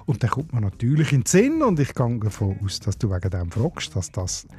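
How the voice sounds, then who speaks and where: A man with a Swiss German accent, co-hosting a dialect podcast and explaining the next guest in a relaxed way. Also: mid-range voice, a little fast. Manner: relaxed, explanatory, a few hesitations. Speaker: podcast co-host. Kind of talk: radio chat trailer.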